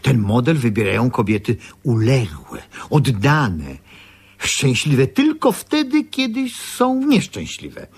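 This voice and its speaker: Husky, insinuating man. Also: mid-range voice, wildly swooping pitch, takes it slowly, heavily acted. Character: unctuous, unsettling corset-maker, self-important male artisan. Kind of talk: radio drama acting.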